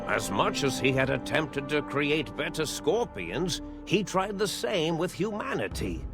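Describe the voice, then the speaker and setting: A man narrating a video game cinematic as a grave storyteller, speaking solemnly and deliberately with weighty pauses. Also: on the high side, exaggerated voice. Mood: wry, measured. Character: grave storyteller recounting a post-war science legend. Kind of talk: scripted narration, measured storytelling. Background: music behind the voice.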